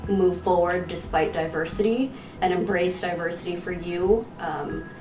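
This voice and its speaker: Encouraging thirty-year-old woman, lowish voice. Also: fairly even intonation, unhurried. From San Francisco, California. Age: 30s. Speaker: interviewee. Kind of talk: documentary interview. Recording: roomy, distant mic with music bed.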